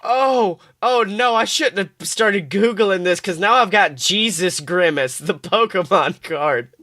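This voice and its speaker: A woman's voice: medium pitch, measured pace, dry recording, studio quality. A young woman co-hosting a comedic podcast, chatting casually, acting out quotes and swearing freely as she tells stories. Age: young adult. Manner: animated storytelling, swears freely, acts out quotes. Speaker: podcast co-host.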